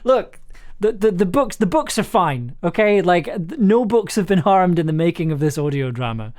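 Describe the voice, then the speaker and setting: A streamer giving casual commentary while editing audio, in a halting way that often repeats words. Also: medium pitch, lively intonation, darkish tone. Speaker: streamer, audio drama producer and editor.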